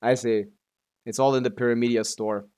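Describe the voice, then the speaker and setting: A man streams a video game with casual running commentary. His voice sits at an ordinary middle pitch. He talks offhandedly, half to himself, and swears freely. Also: steady tone, normal speed, darkish tone, clear, resonant tone. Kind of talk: casual live gameplay commentary.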